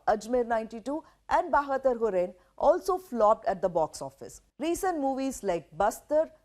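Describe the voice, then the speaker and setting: A woman with an Indian accent reading a scripted news explainer in a measured, emphatic way. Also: a little high, darkish tone.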